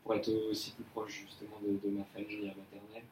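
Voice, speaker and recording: A man's voice: on the low side, completely flat delivery, quick pace, darkish tone, lightly acted. A young man playing an earnest employee in a mock job appraisal, speaking hesitantly with many fillers and restarts. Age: young adult. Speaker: employee in an appraisal role-play. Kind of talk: amateur role-play of a job interview. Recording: echoey room, mic far off, faint noise.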